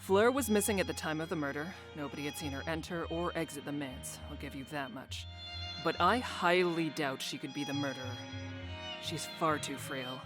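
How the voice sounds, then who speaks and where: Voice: mid-range voice, moderate pace, music in the background, close to an everyday voice. An actor playing a curt, condescending head of a town guard in a fantasy visual novel. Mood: skeptical. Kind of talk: visual novel character acting.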